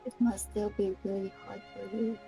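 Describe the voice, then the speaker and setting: A woman's voice: mid-range voice, level tone, normal speed, gravelly, dark tone. A woman live-streaming a video game, reading story text aloud and reacting casually. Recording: game music behind the voice.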